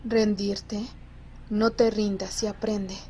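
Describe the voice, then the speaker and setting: A woman playing a cool, aloof teenage girl soldier with a dry, measured manner in an amateur Latin American Spanish anime fan dub. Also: medium pitch, flat delivery, mellow, husky. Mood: cool, commanding.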